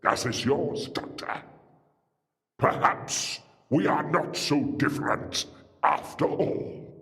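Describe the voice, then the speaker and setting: A man's voice: fairly high pitch, slow pace, a bit bright. A man playing a vengeful, arrogant alien war lord in an audio drama, with grandiose, threatening delivery. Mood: menacing. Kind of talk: audio drama villain acting. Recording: long hall-like reverb.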